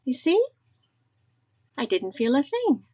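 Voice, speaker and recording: A woman playing a poised, glamorous film actress in 1930s-style radio comedy, with a deliberate, theatrical delivery. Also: high voice, sing-song, mellow, husky, heavily acted. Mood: cool, frank.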